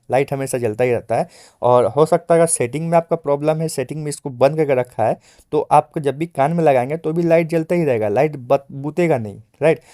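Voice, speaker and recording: A man's voice: medium pitch, warm tone, no room sound, clean studio sound. A man giving an informal step-by-step phone tutorial as host, explaining repetitively with frequent check-in tags. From India.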